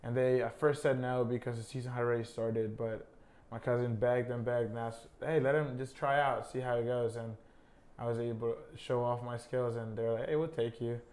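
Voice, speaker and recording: A young college soccer player from Honduras, a native Spanish speaker, talking casually in an interview with many fillers. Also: male voice, mid-range voice, moderate pace. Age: young adult. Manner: relaxed, many fillers like "I mean" and "uh".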